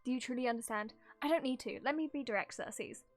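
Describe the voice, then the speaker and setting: A woman's voice: a little high, fast talker, soft background music, close to an everyday voice. A woman voicing a blunt, scornful challenger in an argument from a game story.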